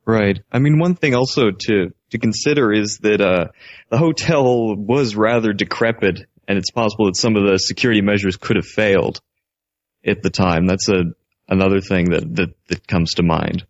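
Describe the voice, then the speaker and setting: Low-voiced man on a muffled internet call. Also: normal speed. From Canada. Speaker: radio show caller. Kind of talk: casual, hesitant explaining. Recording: dull, lo-fi internet call audio.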